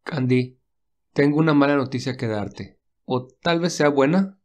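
A man voicing a caring young male lead in a read-aloud romance, speaking softly with light teasing. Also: mid-range voice, unhurried, lightly acted. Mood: gentle.

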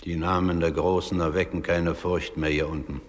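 A man playing a grave, severe judge of the underworld in a radio play, speaking in a measured, declamatory way. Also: sits low, little pitch movement, close to an everyday voice. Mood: stern. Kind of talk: German radio play acting. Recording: long reverberant hall.

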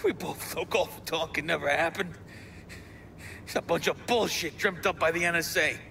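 A man's voice: a little high, moderate pace, gravelly, sharp tone, a little hiss or hum. A man playing a wounded, cynical American rogue operative in a video game, ranting profanely and defiantly. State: badly wounded, coughing. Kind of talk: video game cutscene acting.

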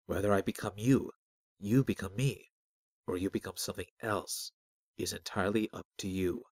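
Man voicing a soft, low, cryptic spirit guide. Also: no echo. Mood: solemn, guiding. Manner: soft, measured, enigmatic. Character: calm, cryptic disembodied spirit voice, guiding and riddling. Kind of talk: fantasy audiobook character.

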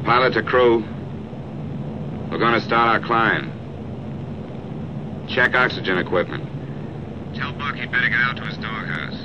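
Muffled pilot over engine rumble. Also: male voice, a little slow, pauses often. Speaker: bomber pilot on crew intercom. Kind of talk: terse crew instructions. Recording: muffled 1940s film audio, aircraft rumble. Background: airplane engine noise.

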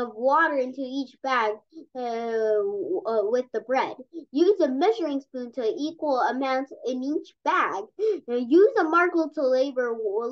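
A young-sounding host reading a children's science comic aloud, stumbling over and repeating words. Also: high voice. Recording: dull lo-fi recording.

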